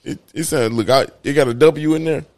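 A man's voice: medium pitch, brisk, darkish tone. A man with a Chicago accent co-hosting a podcast, trading slangy, exclamatory, joking banter in casual talk. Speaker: podcast co-host.

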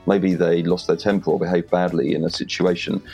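A man's voice: low-pitched, flat delivery, a little fast, darkish tone, faint music behind. A man with a Welsh accent, a podcast guest giving direct, confident advice on interviewing. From Wales. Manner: direct, confident, plain-spoken. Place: home in rural Wales.

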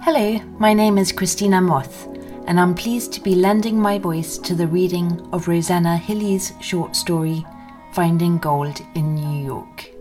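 A woman's voice: lowish voice, fairly even intonation, a little slow, darkish tone, soft background music. A woman reading a first-person story aloud from a script.

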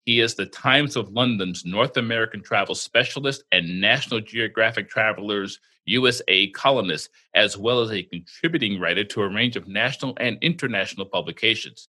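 Male podcast host, lowish voice with a sharp brightness, bantering and stumbling over fillers. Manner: joking, halting with many fillers and restarts.

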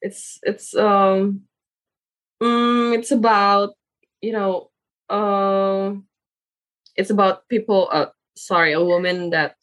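A young woman whose first language is Indonesian, speaking English in a casual interview as the guest and often pausing to search for words. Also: mid-range voice, fairly even intonation, fairly bright tone. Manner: halting, searching for words with many fillers.

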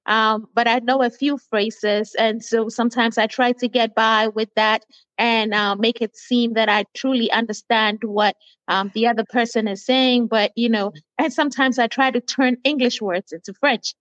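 A woman's voice: fairly high pitch, fairly even intonation, fairly bright tone, dry recording. Friendly woman host, dry lo-fi sound. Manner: friendly, halting with fillers. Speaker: podcast host. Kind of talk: hosting an interview.

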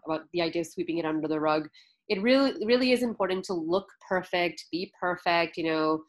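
Guest: low-pitched woman, calm, measured, analytical. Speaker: panel guest. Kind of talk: casual panel chat.

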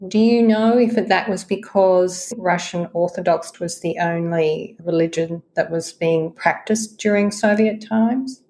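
Low-voiced woman interviewer. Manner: measured, with quiet backchannels. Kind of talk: interview questions.

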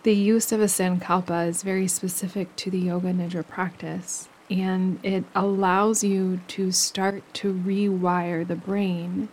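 A woman guides a relaxation meditation very slowly and gently. Her voice is on the low side and stays very even in pitch. Running stream water sounds softly behind her. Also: a little hiss or hum, low-quality recording. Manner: soothing, gentle instruction with unhurried pauses. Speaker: yoga nidra meditation guide.

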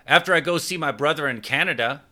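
A man doing an eager, bright young man. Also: a little high, quick pace, pitched above the performer's own voice. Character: eager, friendly young man, casual crewmate. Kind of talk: audiobook character dialogue.

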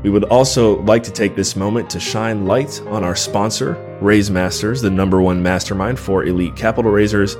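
A man's voice: lowish voice, steady tone, normal speed, hardly any pauses, music in the background. A man hosting a podcast, enthusiastic and friendly as he introduces the show and asks questions. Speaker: podcast host.

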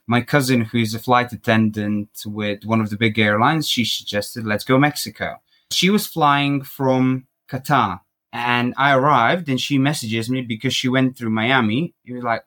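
A young man from Croatia, a podcast guest, chatting casually and telling an animated travel story, laughing at himself and acting out quotes. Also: medium pitch.

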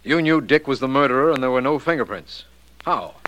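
An actor playing a slightly baffled, easily impressed American district attorney in 1940s radio style, formal, measured and inquisitive. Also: male voice, mid-range voice, lively intonation, fairly bright tone, a little hiss or hum, natural voice, rough recording. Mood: puzzled. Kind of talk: 1940s radio drama acting.

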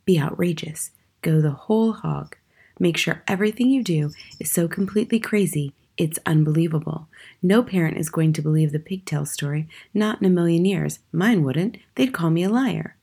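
A woman's voice: lowish voice, moderate pace, creaky, mellow, husky. Lady playing a smart sure-of-herself young schoolgirl, matter of fact, kids story. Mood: confident explaining. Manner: matter-of-fact, emphatic explaining. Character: knowing, confident, clever young schoolgirl. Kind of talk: children's audiobook character voice.

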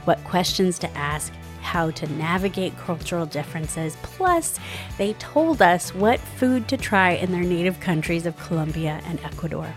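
A woman's voice: lowish voice, animated, normal speed, faint music behind. A woman hosting a podcast, upbeat and enthusiastic as she introduces guests and asks questions. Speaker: podcast host.

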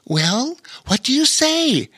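A man's voice: very high-pitched, big pitch swings, takes it slowly, bright, forceful tone, breathy edge, pitched above the performer's own voice, heavily acted. A man voicing a proud mother in a children's story. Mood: prompting. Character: tall mother, warm and proud.

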